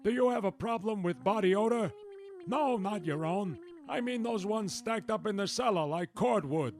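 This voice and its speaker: A man playing a cheery, morbid huckster pitchman in a parody radio commercial. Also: expressive, normal speed, darkish tone. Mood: chipper. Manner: chipper salesman patter.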